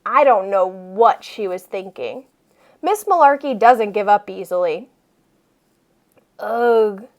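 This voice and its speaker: A woman voicing a cheeky, exasperated schoolboy narrator from a children's storybook, with emphatic, expressive delivery. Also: medium pitch, slow pace, long pauses, voice pushed down. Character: reluctant schoolboy narrator who hates reading, cheeky, exasperated.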